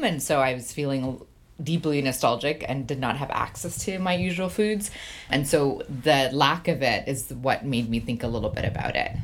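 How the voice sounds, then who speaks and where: Low-voiced woman chatting informally. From Canada, born in Sri Lanka. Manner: informal, explanatory, frequent 'like' hedging. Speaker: interview guest, academic. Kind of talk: casual chat and cooking walkthrough.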